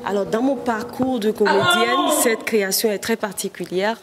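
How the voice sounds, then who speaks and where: A woman's voice: mid-range voice, moderate pace, a loud crowd. A woman from Benin, a stage actress, explaining her role in an earnest, explanatory way. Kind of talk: interview answers. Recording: music playing behind.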